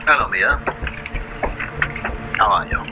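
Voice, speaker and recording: Low-voiced, placating husband. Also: male voice, slow, lo-fi sound, very noisy. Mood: placating, weary. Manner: placating, controlled. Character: placating professor husband of a demanding wife. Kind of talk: radio drama acting.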